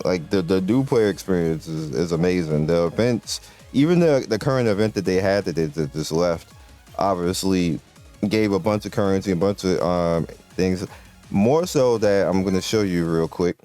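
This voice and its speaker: A young man with a very low, deep voice talks through a mobile game in a loose, rambling way. He gets excited and trips over his own sentences, restarting them as he goes. Soft music plays faintly underneath. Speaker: gaming video creator. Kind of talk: casual gameplay commentary.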